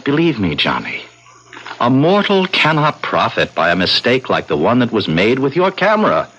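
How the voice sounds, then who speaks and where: A worldly, insinuating male spirit from a seventies radio play. His husky, mid-pitched voice glides widely up and down. He reasons and coaxes with patient, sly persuasion. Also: huge pitch swings, normal speed, fairly bright tone, muffled recording, full character voice. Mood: sly, coaxing. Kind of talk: 1970s radio drama acting. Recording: noisy old 1970s radio recording.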